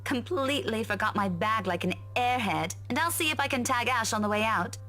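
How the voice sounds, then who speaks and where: A woman's voice: a little high, lively intonation, a little fast, sharp tone, faint music behind. A woman voicing a friendly, blunt young woman who talks in a breezy, casual way.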